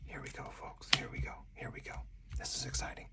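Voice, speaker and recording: A person whispering softly and breathily in ASMR style, narrating a solo card game move by move as the host. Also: fast talker. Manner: hushed, narrating each move aloud step by step.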